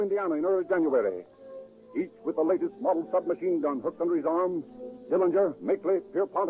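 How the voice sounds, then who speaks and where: A man's voice: high-pitched, close to an everyday voice. A man acting as a grave, florid narrator of a true-crime radio drama in dramatic 1930s style. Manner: declamatory, florid, solemn. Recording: tinny 1930s radio broadcast, music behind.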